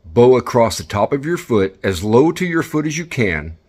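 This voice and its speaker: A man's voice: mid-range voice, big pitch swings, normal speed, a little mellow, husky. A man giving instructional commentary, speaking directly and encouragingly as he coaches. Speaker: instructor and video host.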